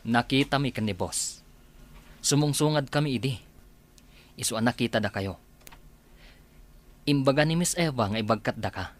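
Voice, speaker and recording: A man plays a coaxing radio drama character who gets flustered. His voice sits at an ordinary middle pitch, and he talks like a grown man reasoning with others. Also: normal speed, pauses often, close to an everyday voice. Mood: scolding, explaining.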